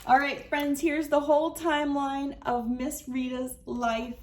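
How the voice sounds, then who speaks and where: A woman reading a children's story aloud as host, with dramatic, deliberate phrasing and clear emphasis. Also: high-pitched, level tone. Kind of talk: expressive picture-book read-aloud.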